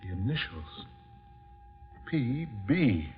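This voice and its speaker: An actor playing a genteel, formal gentleman who is haunted and easily rattled, in theatrical 1940s radio horror style. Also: mid-range voice, big pitch swings, slow pace, slightly rough, exaggerated voice. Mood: fond, puzzled. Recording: dull 1940s radio recording, loud music behind.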